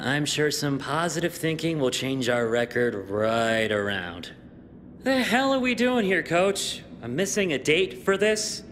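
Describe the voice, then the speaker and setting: A man playing an arrogant, sarcastic teenage star athlete in a video game cutscene. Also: a bit bright. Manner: sarcastic, sneering. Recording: reverberant hall, faint rumbling noise.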